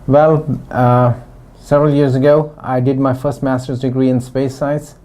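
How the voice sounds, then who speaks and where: Mellow-voiced man from Pakistan, halting testimonial. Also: mid-range voice. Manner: halting, with frequent restarts and fillers. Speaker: former student giving a testimonial. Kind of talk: testimonial interview.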